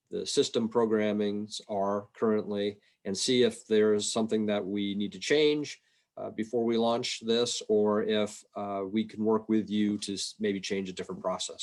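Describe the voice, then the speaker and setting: A man giving an informal, explanatory presentation on a video call, plain-spoken and somewhat halting. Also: on the low side. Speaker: state revenue agency policy presenter. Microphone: video call microphone.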